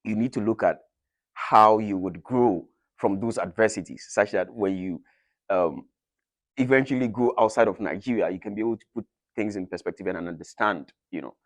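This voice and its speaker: A young man with a very low, deep voice hosts a casual interview from a dry, close-miked room. He talks hesitantly, filling gaps with ums and restarting phrases as he leads the conversation. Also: moderate pace, no echo. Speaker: host.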